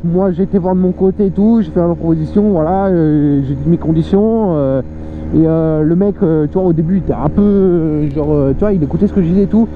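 High-pitched young guy in a noisy helmet. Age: young adult. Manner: slangy, hesitant, repeats words for emphasis. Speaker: motovlogger. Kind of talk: casual vlog monologue while riding. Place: outdoors, riding a moped on country roads. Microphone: microphone inside a motorcycle helmet. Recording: muffled helmet mic, loud engine and wind noise.

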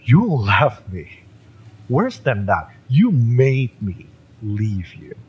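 Man acting a fiery, sardonic mercenary, swinging pitch. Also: sing-song, drawn-out words, a little hiss or hum, low-quality recording. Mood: hurt, angry. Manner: sardonic, swearing, sighing, taunting. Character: fiery, bitter young male mercenary, jilted ex-bodyguard. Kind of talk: romance audio roleplay acting, addressed to the listener.

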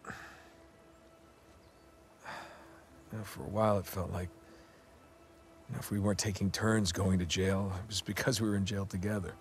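A man playing a weary, wry adventurer in his thirties in a cinematic game, speaking naturally with halting understatement. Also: deep voice, flat delivery. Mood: reflective, subdued.

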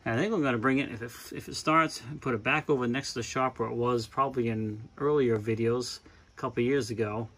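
A man's voice: mid-range voice, fairly even intonation, brisk, fairly bright tone. Casual middle-aged man thinking aloud. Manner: loose, searching for words, thinking aloud. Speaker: vlogger converting a school bus. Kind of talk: casual vlog walkthrough. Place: inside a parked school bus, then driving it. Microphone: handheld phone camera. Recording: faint engine noise in a bus. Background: faint bus engine and outdoor ambience.

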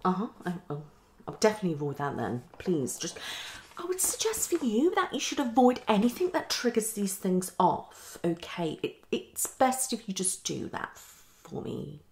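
Gravelly-edged woman, ASMR roleplay, repetitive muttering, half whispered and half spoken, some background noise. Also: mid-range voice, very animated, moderate pace. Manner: muttering and repetitive, slipping between whisper and speech. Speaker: ASMR performer playing a psychologist. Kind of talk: fast, unpredictable ASMR roleplay. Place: small living room, indoors.

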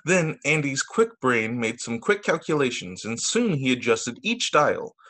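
A man, a teacher, reading children's stories aloud over a video call in an expressive, sing-song storytelling way. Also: mid-range voice, unhurried. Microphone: video call microphone.

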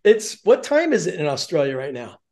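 A man's voice: fairly high pitch, big pitch swings, a little fast, darkish tone. Man, 50s, podcast host, enthusiastic, affirming, rambling questions, video call, home office. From born in Germany. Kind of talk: casual interview chat. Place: home office with shelves of sports helmets.

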